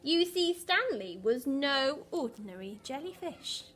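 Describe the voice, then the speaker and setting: A woman's voice: sits high, big pitch swings, a little slow, a bit bright. A woman primary school teacher reading a picture book expressively to young children, with dramatic emphasis and questions to the listeners.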